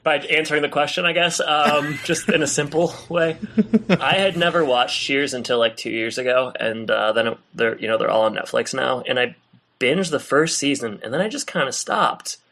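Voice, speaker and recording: A man's voice: mid-range voice, normal speed, gravelly, sharp tone. An American man, around his thirties, chatting casually as a podcast co-host with a teasing, informal manner. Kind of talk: casual chat.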